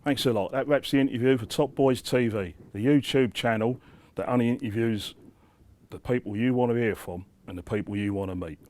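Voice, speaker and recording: A man's voice: mid-range voice, brisk, darkish tone. A middle-aged man, hosting a casual interview, reading out viewers' questions and joking with his guests. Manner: jokey, blokey, reads out viewer questions.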